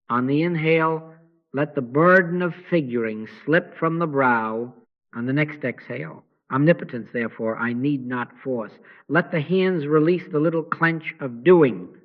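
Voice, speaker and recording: Hushed man guiding a slow meditation. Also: fairly high pitch, highs cut off. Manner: slow, hushed, gently instructive. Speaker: meditation guide.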